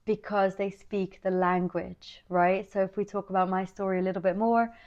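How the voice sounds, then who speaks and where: A woman's voice: mid-range voice, little pitch movement, moderate pace, a little mellow, smooth. A young woman raised in the Netherlands and the UK, earnestly talking to camera in an explanatory commentary and often checking in with "right?". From Sri Lankan family, raised in the Netherlands and UK. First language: Dutch. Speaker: video essay host. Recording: slightly dull lo-fi audio.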